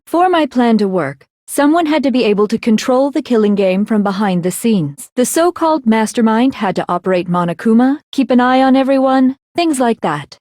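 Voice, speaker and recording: A woman's voice: a little high, darkish tone, dry recording, studio quality, lightly acted. A woman voicing a smug, coldly analytical teenage girl villain in an anime video game, composed and condescending as she explains herself.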